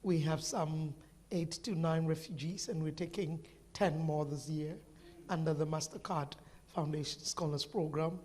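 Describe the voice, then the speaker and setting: Low-voiced woman, matter-of-fact. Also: steady tone, normal speed, a little mellow. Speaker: university representative. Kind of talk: panel self-introduction.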